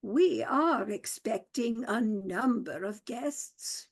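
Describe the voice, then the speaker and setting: Beach, a gravelly, ponderous butler. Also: female voice, fairly high pitch, lively intonation, slow pace, full character voice. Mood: solemn. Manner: ponderous, solemn, complaining about ailments. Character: pompous slow middle-aged male butler. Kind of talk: radio play acting.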